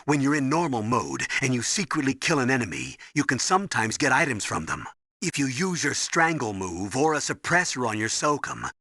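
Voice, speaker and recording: An actor playing a firm, commanding military officer in a video game, giving emphatic instructions in a raspy voice. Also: male voice, mid-range voice, sharp tone, no echo, clean studio sound, exaggerated voice. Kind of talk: video game tutorial voice acting.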